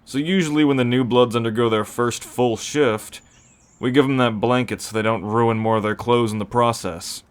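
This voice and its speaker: A man playing a seasoned, calm werewolf who explains pack ways matter-of-factly in an ASMR roleplay. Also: mid-range voice, normal speed, clear, resonant tone, lightly acted.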